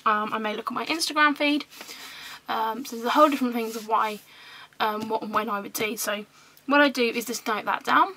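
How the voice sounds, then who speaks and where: A woman with a hoarse voice, recovering from illness, chatting casually through a scrapbooking vlog with many ums. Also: on the high side, fairly quick, bright, forceful tone, slight background noise, poor audio. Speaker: scrapbooking vlogger. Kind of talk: casual show-and-tell vlog.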